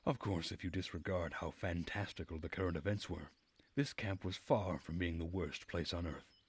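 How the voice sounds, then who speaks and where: A man with a husky voice reading a visual novel aloud as narration while streaming the game. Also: deep voice, normal speed.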